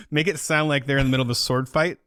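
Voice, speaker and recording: A man's voice: a little high, a little fast, a bit bright, no echo, clean studio sound. A man co-hosting a podcast with casual, joking banter. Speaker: podcast co-host.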